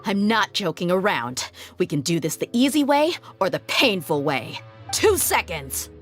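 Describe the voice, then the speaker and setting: A woman voicing a tough, confident, blunt female mercenary in a video game, with a brisk, cocky manner. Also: mid-range voice, big pitch swings, faint music behind. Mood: menacing, teasing. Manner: brisk, cocky, casually menacing.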